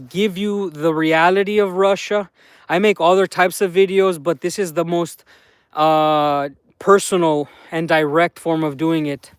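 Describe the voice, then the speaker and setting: A chatty Mexican American man from Los Angeles, talking to his live viewers while out by a mountain. His voice sits high and comes through smooth and clean. The phone sound is dry and close. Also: dry recording. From Los Angeles, San Fernando Valley. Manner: chatty, thanks viewers by name. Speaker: livestream vlogger. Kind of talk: casual livestream chat. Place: outdoors by a mountain slope.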